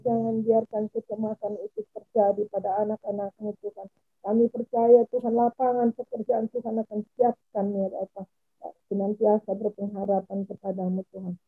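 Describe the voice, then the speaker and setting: A woman leading a spoken intercessory prayer, fervent and repetitive, with phrase endings that trail off softly. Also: on the high side, no room sound. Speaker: prayer leader. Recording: tinny phone-like line.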